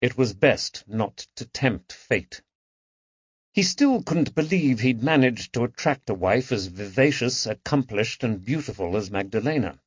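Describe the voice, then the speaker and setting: A man's voice: medium pitch, expressive, measured pace, breathy edge, no room sound, highs cut off. A man reading a historical mystery novel as scripted audiobook narration.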